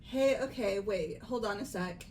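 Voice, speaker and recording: A woman's voice: mid-range voice, measured pace, natural voice. A woman voicing an earnest, disbelieving teenage schoolgirl in a casual, conversational amateur game dub. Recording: noticeable background noise.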